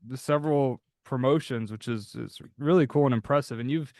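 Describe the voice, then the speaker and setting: A man's voice: mid-range voice, brisk, darkish tone, no room sound, studio quality. A man hosting a podcast interview in a casual, chatty, rambling way. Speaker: podcast host.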